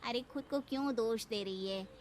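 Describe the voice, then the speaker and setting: A woman's voice: a little high, normal speed, slight background noise, close to an everyday voice. A woman voicing a reassuring, gossipy middle-aged woman friend in a cartoon family drama. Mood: concerned.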